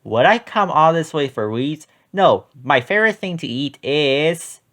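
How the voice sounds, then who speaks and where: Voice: mid-range voice, expressive, measured pace, fairly bright tone. A storybook reader voicing an always-hungry, mannerly chupacabra monster. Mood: hungry, polite. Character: chupacabra, always-hungry monster who likes goats' snacks.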